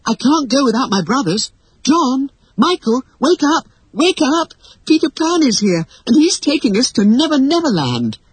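A storybook narrator voicing an excited, chattering young girl with a gushing, emphatic manner. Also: medium pitch, a little slow, husky, dry recording, pitched above the performer's own voice, low-quality recording. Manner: gushing, breathless, emphatic exclamations. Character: excited, chattering young girl, warm-hearted and wide-eyed. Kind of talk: acted storybook dialogue.

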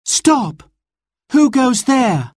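A man performs a young male forest outlaw with a high, swooping voice. He is defiant and easily alarmed, calling out urgently as a storybook character. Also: wildly swooping pitch, normal speed, a bit bright, dry recording, studio quality, heavily acted. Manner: urgent, exclamatory.

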